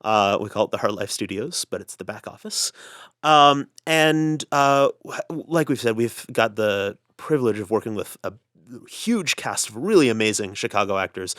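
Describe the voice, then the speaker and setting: Clear-voiced man, halting explainer. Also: fairly low pitch, animated, a bit bright, no room sound, studio quality. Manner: halting, pausing often mid-sentence. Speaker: audio drama producer and editor being interviewed. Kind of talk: casual explanatory interview talk.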